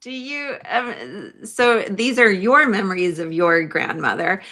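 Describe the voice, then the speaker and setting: A woman hosting a podcast, asking casual interview questions in tentative, halting phrases. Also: medium pitch, animated, pushed and bright.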